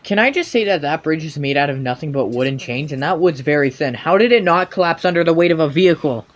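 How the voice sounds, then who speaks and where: A man's voice: fairly high pitch, expressive, fast. A man giving sarcastic, nitpicking comedic commentary on video game cutscenes.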